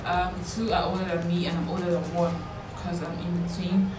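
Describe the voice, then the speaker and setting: A young Nigerian woman vlogger talks in a casual, playful way. Her voice sits fairly low for a woman. It is picked up from some distance in a roomy, echoing space, with music behind her. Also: monotone, brisk. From Lagos, Nigeria. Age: 20s. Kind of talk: casual chat to camera answering questions. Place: small indoor room with bare walls. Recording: roomy echo, distant mic, background music.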